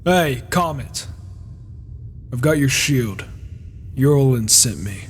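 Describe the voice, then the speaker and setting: A man's voice: medium pitch, animated, slow pace. Guy doing an impatient skeptical warrior for a game roleplay, takes his time, grumbly, slight background noise. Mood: grumbling, determined. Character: reclusive male warrior, impatient, skeptical and proud. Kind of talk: improvised video game roleplay. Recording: faint noise, rain behind, mic issues.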